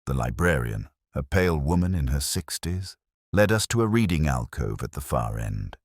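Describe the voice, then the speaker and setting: A man narrating a scripted detective mystery in the first person, in a measured, brooding, literary manner. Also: extremely low pitch, very animated, no room sound, clean studio sound. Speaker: narrator playing a melancholy detective.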